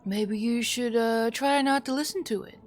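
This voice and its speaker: A woman's voice: on the high side, moderate pace, soft background music, lightly acted. Woman acting a proper, fussing grown-up. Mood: concerned. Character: polite, mildly flustered adult soothing a child.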